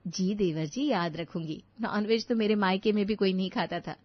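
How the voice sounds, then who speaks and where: A woman's voice: mid-range voice, moderate pace, dry recording, natural voice. A timid young bride from a storybook, acted by a woman narrator, polite and a little wistful. The sound is very dry and slightly muffled.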